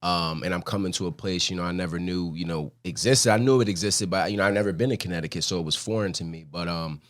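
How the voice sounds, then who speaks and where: A man's voice: low voice, fast, a bit bright. An American man from Philadelphia talking casually and reflectively as a guest in a studio interview. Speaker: guest, former college athlete and mentor. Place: podcast studio.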